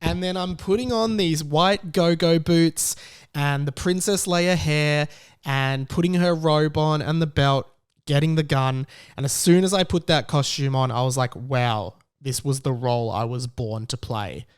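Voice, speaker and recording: An Australian man hosting a podcast, telling a personal story in a casual, chatty and animated way. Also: on the high side, a little slow, clean tone. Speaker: podcast host.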